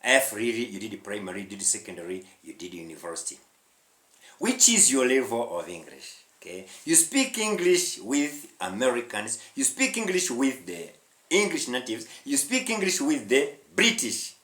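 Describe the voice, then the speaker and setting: A man's voice: medium pitch, big pitch swings, moderate pace, a bit bright. A man from Rwanda with a Rwandan accent, teaching an online English lesson emphatically, with repetition and short questioning interjections. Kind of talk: online language lesson, addressing viewers directly. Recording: echoey room.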